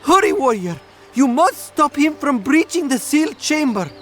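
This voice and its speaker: A man's voice: very high-pitched, very animated, moderate pace, soft background music. An actor playing an urgent, commanding male follower of an ancient order in a video game. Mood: desperate, urgent. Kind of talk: dramatic video game voice acting.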